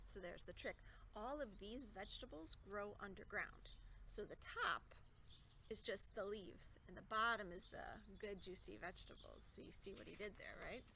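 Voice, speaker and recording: A woman's voice: medium pitch, moderate pace, gravelly, pushed and bright, slight background noise. A woman reading a children's story aloud expressively, adding explanatory asides and questions for young listeners. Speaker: storybook reader.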